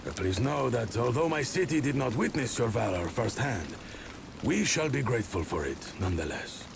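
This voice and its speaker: A man's voice: mid-range voice, sing-song, normal speed. A man playing a formal, courteous medieval city ruler in a video game cutscene. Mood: gracious, formal. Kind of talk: dramatic game cutscene acting. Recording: rain and clear background noise.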